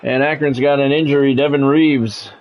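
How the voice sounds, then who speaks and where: A man's voice: mid-range voice, steady tone, moderate pace, lo-fi sound, slight background noise. A middle-aged American man doing play-by-play of a football video game on a livestream, mixing polished, clipped announcer calls with casual chat to viewers.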